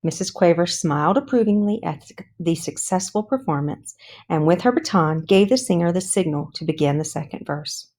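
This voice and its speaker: A woman narrating a children's book aloud in a careful, deliberate read-along style. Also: fairly low pitch, animated, darkish tone. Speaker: storybook reader.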